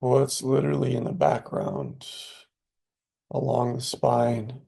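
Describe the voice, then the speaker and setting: A man leading a guided meditation in a soothing, unhurried way, with spare phrases and long pauses between them. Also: mid-range voice, sing-song, gravelly, dark tone, husky.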